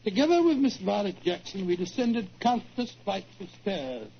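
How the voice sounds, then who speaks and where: An actor playing a genial British gentleman companion, telling the story earnestly in 1940s radio drama style. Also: high-pitched, sing-song, moderate pace, exaggerated voice. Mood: measured storytelling. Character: Doctor Watson, genial, plain-spoken middle-aged gentleman companion. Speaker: narrator and sidekick. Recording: dull, noisy 1940s radio recording.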